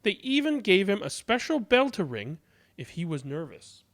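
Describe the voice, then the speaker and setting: A man reading a children's story aloud in an expressive, dramatic way, drawing words out deliberately. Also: sits high.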